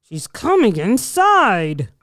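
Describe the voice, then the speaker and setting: A woman's voice: medium pitch, wildly swooping pitch, slow pace, a bit bright, no room sound, studio quality, full character voice. A woman voicing a flustered, grumpy sandwich shop man with groaning, exasperated delivery in a children's storybook. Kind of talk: children's storybook read-aloud.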